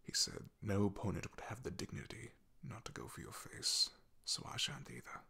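A man plays a loyal, bashful knight in a fantasy ASMR roleplay. His voice is very low and heavily gravelled, with a very breathy, husky grain. He speaks softly and haltingly, awkward but devoted. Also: full character voice. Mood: wistful, reflective. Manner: hesitant, halting, soft-spoken. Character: loyal young male knight, shy, awkward, devoted, soft-spoken.

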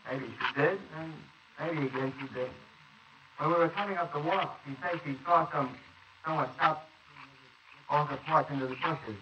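A man's voice: on the high side, normal speed, pauses often, dull audio, close to an everyday voice. Brief: chatty, rambling neighbor witness, played by a man, distant roomy hissy wire recording. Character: rambling, talkative middle-aged neighbor witness. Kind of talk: 1940s radio acting.

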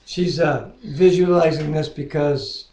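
A man's voice: a little high, steady tone, measured pace, a little mellow. A man in his sixties with a North American accent, reading scripture and praying aloud in a living room. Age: 60s. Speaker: co-host of a home Bible reading. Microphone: webcam at about arm's length. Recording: echoey living room webcam audio.

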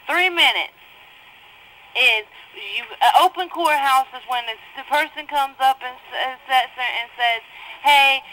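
A woman's voice: high-pitched, measured pace, pushed and bright, a little hiss or hum, poor audio. A woman calling from jail on the phone, agitated and heavily profane, arguing with her mother over her court case. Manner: agitated, profane, talks over the other speaker. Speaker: inmate on a jail phone call. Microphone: jail telephone.

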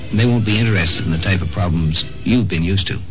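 Low-voiced male radio host. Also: quick pace, over music. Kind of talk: scripted radio commentary. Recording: muffled old radio recording.

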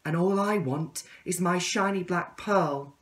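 A man's voice: high-pitched, a little slow, fairly bright tone, far from the mic, pitched above the performer's own voice. A man voicing a sweet but reproachful young female caterpillar, lovestruck and demanding, in a children's storybook.

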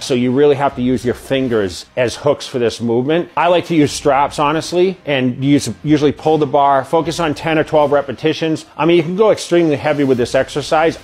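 A middle-aged man, a bodybuilding coach, explaining an exercise in a steady, practical way, recorded on a lapel mic in a home gym. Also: mid-range voice. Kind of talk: exercise instruction to camera. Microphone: clip-on lapel mic.

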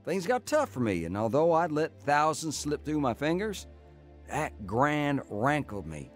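A world-weary crook telling his story in a radio drama. He is tough and wry, fairly high-voiced and very animated, with faint music underneath. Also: measured pace. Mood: wry recollection. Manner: wry, clipped, hardboiled. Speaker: narrating protagonist. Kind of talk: first-person radio drama narration and acting.

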